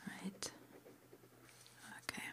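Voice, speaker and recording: A woman's voice: drawn-out words, pushed and bright. Whispering woman in an ASMR interview roleplay. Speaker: ASMR roleplayer playing a witch school interviewer.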